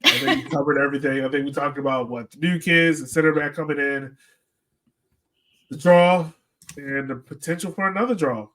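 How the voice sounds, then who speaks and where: A man's voice: on the high side, fairly quick, fairly bright tone. A man hosting a sports podcast in casual, joking chat.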